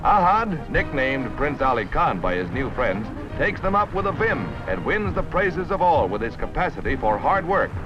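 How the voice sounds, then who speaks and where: A man's voice: a little high, very animated, moderate pace, hardly any pauses. Old 1950s newsreel announcer guy, american, dramatic swoopy delivery, dull lo-fi sound, music behind. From United States. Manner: dramatic, polished, declamatory. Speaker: newsreel narrator. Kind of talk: 1950s newsreel scripted narration.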